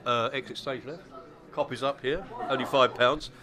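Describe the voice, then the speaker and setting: Hesitant man, mid-pitched, interviewed at a busy event with crowd noise. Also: steady tone, normal speed. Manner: hesitant, many fillers. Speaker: brewery representative. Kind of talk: casual interview answers. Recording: distant mic in noisy crowd.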